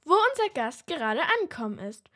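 A woman's voice: fairly high pitch, very animated, brisk, sharp tone, exaggerated voice. A woman playing an inquisitive show host in a children's radio play, presenting and asking questions. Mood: welcoming.

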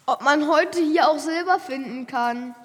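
A woman's voice: high-pitched, unhurried. A woman playing a curious, persistent young questioner in a children's audio drama, with an inquisitive, insistent manner. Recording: voice in a long hall reverb.

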